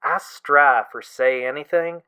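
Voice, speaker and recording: A man's voice: medium pitch, measured pace, clear, resonant tone. Man acting a wry, exasperated young guy. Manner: casual, wry, gently complaining. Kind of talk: audio drama acting.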